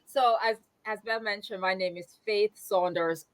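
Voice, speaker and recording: A woman's voice: mid-range voice, steady tone, moderate pace, dry recording, rough recording. A woman in her fifties with a Jamaican accent, a nonprofit founder speaking in a conversational interview, reflective, with frequent fillers and restarts. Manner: warm, reflective, many fillers and restarts, laughs easily.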